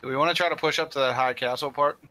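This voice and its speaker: A man's voice: fairly high pitch, steady tone, rapid-fire, pushed and bright, slight background noise. A man making casual, blunt and often profane team callouts while playing an online game. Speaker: gamer in a squad stream.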